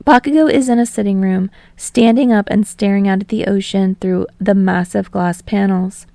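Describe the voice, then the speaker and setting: A woman's voice: medium pitch, fairly even intonation, moderate pace, vocal fry, mellow, no echo. A woman reading fiction aloud as an audiobook narrator, in a scripted storytelling style.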